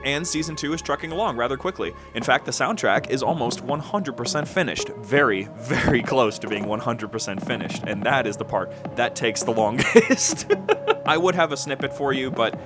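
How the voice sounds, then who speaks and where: A male podcast host gives a conversational update to his audience over prominent background music. His voice sits at an average pitch. He sounds enthusiastic and informal, leaning on key words for emphasis. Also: expressive, normal speed. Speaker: podcast host and producer giving a channel overview. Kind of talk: upbeat, conversational channel update to fans.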